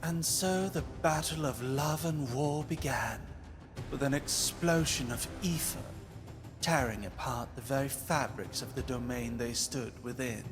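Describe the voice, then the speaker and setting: A man's voice: mid-range voice, music in the background, natural voice. A man playing a wistful, theatrical storyteller, narrating a visual novel in a lyrical scripted style. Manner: lyrical, deliberate.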